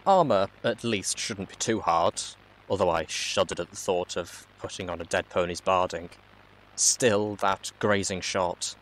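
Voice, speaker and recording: A man reading fan fiction aloud in an expressive storybook narration style. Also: sits low, measured pace, rough recording.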